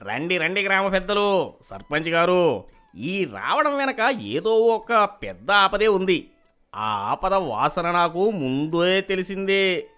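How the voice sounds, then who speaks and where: A man voicing a pompous, fraudulent village priest in an animated moral story, giving grave and imperious warnings. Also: high voice, slow, clean tone, exaggerated voice. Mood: ominous welcome.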